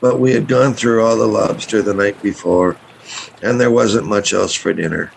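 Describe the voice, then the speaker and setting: A gruff boat captain acted by a man from a radio play, speaking with authority. The voice is low and heavily gravelled, over faint noise. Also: normal speed, low-quality recording. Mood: matter-of-fact. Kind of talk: radio play acting and narration.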